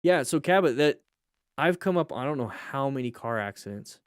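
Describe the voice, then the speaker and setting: A man hosting a livestream, chatting casually with viewers in a blunt, joking, animated manner. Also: mid-range voice, normal speed, clean tone, no echo, studio quality. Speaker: livestream host; firefighter.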